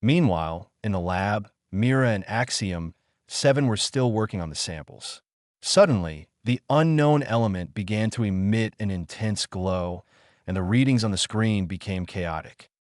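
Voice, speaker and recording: A man narrates a science fiction audio drama in a low voice. His intonation moves around a lot, and the sound is dry and close. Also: animated, moderate pace, no room sound. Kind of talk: scripted sci-fi audiodrama narration.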